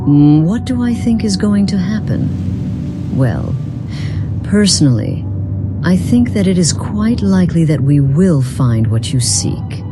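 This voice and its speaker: A woman's voice: lowish voice, very animated, music in the background. A woman voicing a lonely, long-lived otherworldly being in a role-playing game, speaking in formal, philosophical phrases. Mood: grave, musing. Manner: formal, philosophical, measured wording. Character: weary, long-lived, lonely woman from beyond the mortal world.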